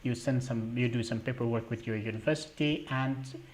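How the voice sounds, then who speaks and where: A man's voice: medium pitch, fairly even intonation. Young guy on a livestream, answering chat questions, lots of uh and um, stops and restarts. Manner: halting, many fillers and restarts; reads out viewer questions. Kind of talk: live Q&A stream.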